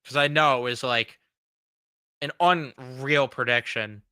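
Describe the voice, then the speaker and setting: A man in his twenties vents with blunt, frustrated, often profane commentary, though he is getting over a cold. His voice is very bright and crisp. The sound is close and dry. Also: medium pitch, fairly even intonation, measured pace, dry recording. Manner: blunt, frustrated, swears freely. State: fighting a cold. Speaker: sports fan show host. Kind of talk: casual reaction commentary.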